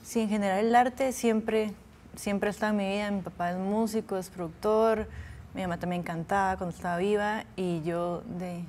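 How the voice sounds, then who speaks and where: A woman in her twenties from Peru, an actress and singer, talking in a friendly way in a studio interview, trailing off softly. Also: medium pitch, steady tone, normal speed, slight background noise.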